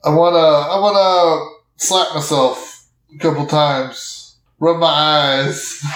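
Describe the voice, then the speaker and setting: A man's voice: on the high side, fairly even intonation, takes it slowly, a bit bright. A man playing in a horror game podcast, improvising casual role-play, recorded in a reverberant room.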